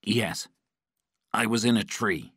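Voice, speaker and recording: A man's voice: fairly low pitch, takes it slowly, fairly bright tone, slightly rough, dry recording, studio quality, pitched above the performer's own voice, full character voice. A man voicing a terrified male captive who pleads with his tormentor in an audiobook. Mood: anxious, cooperative. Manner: pleading, frightened. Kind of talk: audiobook character dialogue.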